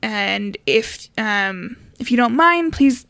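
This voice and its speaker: A woman's voice: a little high, animated, takes it slowly, creaky, fairly bright tone, no echo. A woman co-hosting a podcast in casual, informal conversation with a giggly manner. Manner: informal, giggly, mildly sweary. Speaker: podcast co-host.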